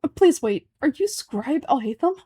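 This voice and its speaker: A woman's voice: high voice, lively intonation, moderate pace, mellow, no echo, pitched above the performer's own voice. A woman voicing a proud, easily offended young student in a fantasy game, stammering and earnest. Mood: flustered.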